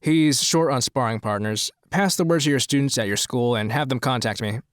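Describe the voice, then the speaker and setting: An actor playing a persuasive, scheming rich promoter in an audio drama, talking in a businesslike way. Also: male voice, mid-range voice, a little fast, no echo, studio quality, close to an everyday voice.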